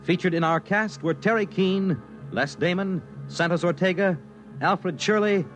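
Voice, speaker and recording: A man's voice: high-pitched, unhurried, fairly bright tone, soft background music. A man announcing in dramatic, declamatory 1950s radio style, reading scripted narration.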